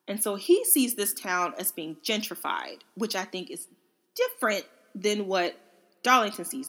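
A woman's voice: mid-range voice, a bit bright. A woman hosting a book podcast, casually recounting and analyzing a story in a conversational way, informally acting out the odd quote. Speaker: book reviewer and podcast host.

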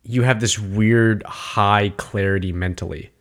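A man's voice: on the low side, steady tone, a little slow, a bit bright. A man co-hosting a casual podcast, enthusiastic and teasing, giving advice with confidence. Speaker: podcast co-host.

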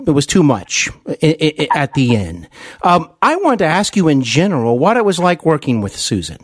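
A man's voice: fairly high pitch, dry recording, studio quality. A man hosting a podcast interview, talking earnestly and conversationally, repeating words as he builds his questions. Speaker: podcast host interviewing a guest.

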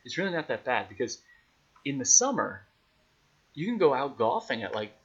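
A man speaking as a radio announcer guest, giving thoughtful, measured answers in a casual conversational interview. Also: mid-range voice, very animated, slightly rough, muffled recording.

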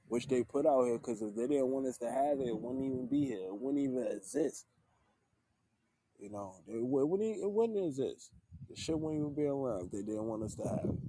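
A man's voice: mid-range voice, warm tone, no room sound. A man in his thirties chatting casually on a livestream, laid-back and earnest, often tagging "man" onto the end of his sentences. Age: 30s. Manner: laid-back, repeats "man" at line ends. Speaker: livestream host. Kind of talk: casual livestream chat with viewers.

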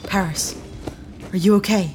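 An actress playing a loyal, headstrong companion, earnest, insistent and emotional, in a sci-fi audio drama. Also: female voice, mid-range voice, steady tone, measured pace, darkish tone, soft background music, close to an everyday voice. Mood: anxious, pleading.

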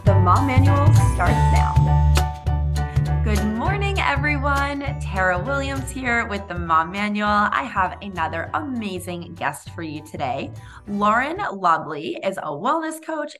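An enthusiastic woman hosting a parenting podcast, her speaking pitch sitting in a middle range. She welcomes her guest with an upbeat, eager manner. Faint music plays behind her opening lines. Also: pushed and bright. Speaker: podcast host. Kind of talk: podcast interview with scripted intro.

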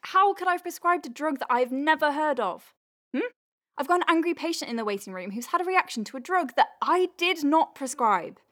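A woman's voice: high voice, lively intonation, a little fast, fairly bright tone, dry recording, studio quality. A woman playing an earnest, wry doctor in an audio drama, thinking aloud in a rambling, conversational way as if dictating notes. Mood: indignant. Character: earnest young woman alien-clinic doctor, flustered and wry. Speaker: doctor dictating a patient letter.

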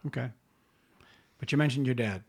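A man hosting a podcast interview, giving short encouraging replies and asking gentle questions. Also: medium pitch, moderate pace, slightly rough. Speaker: podcast host. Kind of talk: casual interview.

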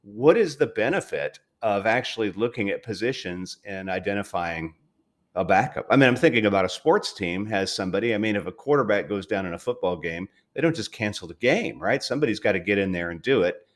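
A man's voice: fairly low pitch, expressive, a little fast. A man hosting an interview in casual chat, with an informal, jokey way of talking. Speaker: interview host. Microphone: online call.

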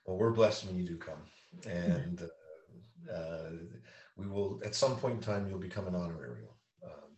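A deep-voiced man hosts a podcast conversation, pausing often with ums and uhs. He sits back from the mic, and the room around him rings with echo. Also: animated, darkish tone, far from the mic. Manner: halting, many ums and uhs. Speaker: podcast host. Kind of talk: casual interview conversation. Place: Newfoundland. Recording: echoey room, away from mic.

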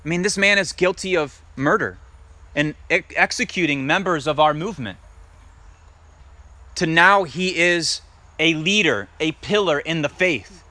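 A man preaching a sermon and reading scripture aloud. He talks emphatically, puts direct questions to his listeners, and builds to loud peaks. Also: fairly high pitch, animated, a little slow, no echo. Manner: asks the congregation direct questions. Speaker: messianic congregation teacher.

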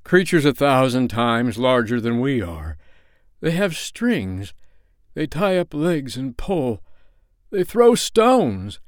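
Man putting on a slow, mild gentleman crab. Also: medium pitch, sing-song, dry recording, clean studio sound. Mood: gloomy warning. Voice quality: mild, small voice. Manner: slow, drawn-out, formally polite. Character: mild, courteous little male sea crab, formal gentleman. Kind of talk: storybook character voice.

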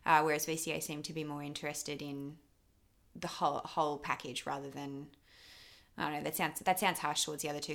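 A young Australian woman speaks in a low register with plenty of vocal fry. She talks casually as an interview guest, candid and a little rambling, often qualifying or talking herself down. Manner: candid, self-deprecating, rambling. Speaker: interview guest, actor and filmmaker.

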